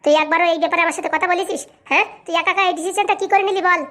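A woman's voice: very high-pitched, steady tone, sharp tone. A woman voicing a furious, domineering wife in a comic cartoon, scolding and threatening. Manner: scolding, threatening, rapid-fire. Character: furious bossy wife, domineering mistress of the house.

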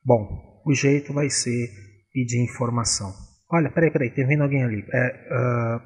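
A man's voice: medium pitch, moderate pace, a little mellow, breathy edge, lightly acted. A man voicing a confident, scheming frog brother in a cartoon comedy, chatting casually and with animation. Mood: pondering.

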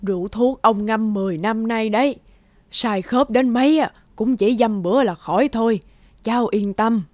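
A woman voicing a kindly, worrying old village grandmother who gently chides. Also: a little high, normal speed, no room sound, natural voice. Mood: reassuring. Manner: fretful, gently chiding. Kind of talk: animated folk tale dubbing.

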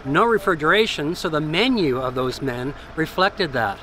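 A man in his sixties guiding a walking tour, speaking in a measured way and listing details with emphasis, recorded outdoors by a harbor. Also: on the high side, animated, sharp tone, rough recording. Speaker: tour guide. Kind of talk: guided walking tour narration. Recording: faint outdoor background noise.

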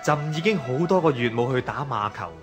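An actor playing an aging, lovestruck emperor in a historical radio drama, with a stately, theatrical delivery. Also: male voice, mid-range voice, lively intonation, brisk, over music, natural voice. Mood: weary, rueful.

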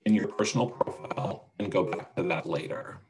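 A man with a gravelly voice presenting a product demonstration and answering questions on a video call. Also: sits low, brisk, background noise. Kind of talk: webinar demonstration and Q&A. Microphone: video call microphone.

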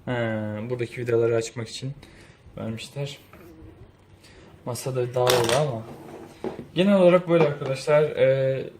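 A man's voice: mid-range voice, fairly even intonation, moderate pace, pauses often. A man giving a casual unboxing review, thinking aloud in an informal way. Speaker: product reviewer. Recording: roomy echo, faint background noise.

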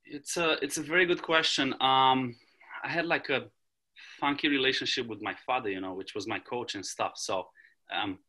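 A man's voice: medium pitch, sharp tone. A man in his forties from Romania with a Romanian accent, a tennis coach talking casually on a video call, full of ums and restarts. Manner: halting, many ums and restarts. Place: small room at home. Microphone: video call, laptop camera.

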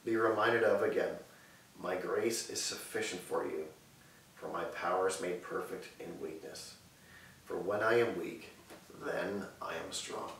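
A man in his thirties preaching and praying earnestly from a sofa in a living room, measured and repetitive in his phrasing. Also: low voice, creaky, a bit bright, recorded from a distance. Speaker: pastor. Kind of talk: sermon and prayer. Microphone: far camera mic. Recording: echoey room audio with faint hiss.

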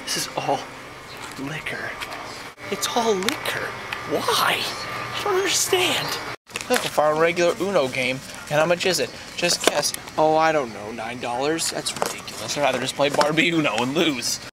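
A man's voice: on the high side, big pitch swings, normal speed. A young American man chatting casually and jokily to a handheld camera while out and about, often exclaiming. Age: young adult. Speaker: vlogger. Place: stores and parking lots around town. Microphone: handheld camera at arm's length. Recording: handheld camera, loud ambient noise.

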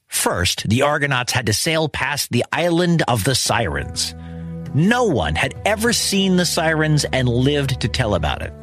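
A man telling a scripted children's story as host, in a wry, conversational manner with dramatic emphasis. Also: medium pitch. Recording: music behind the voice. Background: music.

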